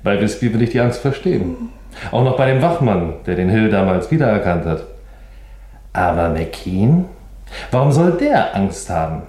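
Wry, nosy reporter, roomy sound. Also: male voice, on the low side, very animated, moderate pace, a little mellow. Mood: probing. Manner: sly, probing, casual. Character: nosy, wry, persistent male newspaper reporter. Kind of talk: German crime radio play acting.

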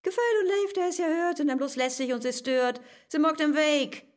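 A woman's voice: high voice, fairly quick, natural voice. An actress with a northern German accent playing an outspoken, mocking woman friend in a verse radio play, emphatic and teasing. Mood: emphatic disdain. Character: brash, shrewd, outspoken female confidante, fearless and mocking.

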